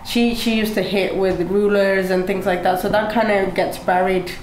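A woman's voice: medium pitch, little pitch movement, normal speed, a little mellow, reverberant, low-quality recording. A young woman from Pakistan whose first language is Urdu, telling her life story to an interviewer with many fillers and restarts. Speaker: motivational speaker, guest. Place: indoor studio set with brick wall, seated at table.

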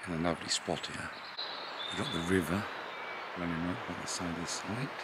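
A man in his fifties with a very low voice gives a relaxed, chatty walk-around of a campsite, with plenty of "uh"s as he goes. He films himself outdoors at arm's length on a handheld camera. Birdsong and rural outdoor noise come through clearly behind him. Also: brisk. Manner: relaxed, chatty, with frequent fillers. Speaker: vlogger touring a campsite. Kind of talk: casual walk-around commentary. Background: birdsong and rural outdoor ambience.

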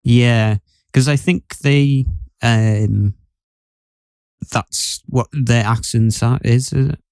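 A man's voice: mid-range voice, steady tone, slow, a little mellow, no echo, clean studio sound. A man co-hosting a podcast, chatting casually in a hesitant way, repeating his words. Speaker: podcast co-host. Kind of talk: casual chat.

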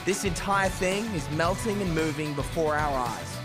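A man hosting a travel show, presenting outdoors on a mountain glacier with an excitable, exclaiming manner and a lapel mic. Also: on the high side, moderate pace. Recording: music bed behind.

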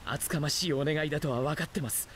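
A man's voice: a little high, fast, fairly bright tone, slight background noise, lightly acted. A man playing a stern, protective adult ninja teacher in an anime game, speaking earnestly and firmly. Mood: pleading, earnest. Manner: earnest, firm, admonishing. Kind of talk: dramatic anime game acting.

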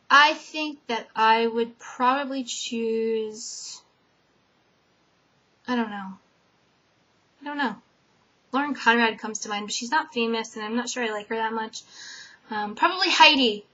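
A young woman from Connecticut answering a tag video's questions in a casual chat, with lots of "um" fillers, her voice trailing off softly at sentence ends. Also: fairly high pitch, a little slow, long pauses. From Connecticut, USA. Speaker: vlogger. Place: dim bedroom, indoors. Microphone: webcam. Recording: dull lo-fi webcam audio.

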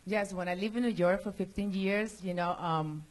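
A woman from Venezuela, speaking halting and rambling English with a thick Venezuelan Spanish accent, chatting on a comedy club stage. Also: mid-range voice. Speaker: comedian at open mic. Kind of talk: stand-up and panel chat.

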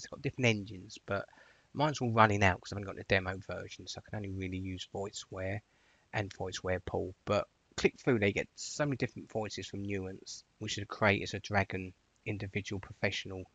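A man narrating an informal software tutorial in a chatty, directive way, stressing the starts of his phrases. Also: on the low side, brisk, gravelly, dry recording. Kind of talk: informal instructional screencast narration.

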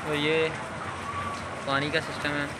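Young man vlogging over loud shed noise. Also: a little high, monotone, a little slow. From Pakistan. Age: young adult. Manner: cheerful, greets workers and addresses viewers as friends. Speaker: vlogger giving a farm tour. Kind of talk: casual walking tour commentary. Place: large poultry shed with rows of caged hens. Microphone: phone held at arm's length.

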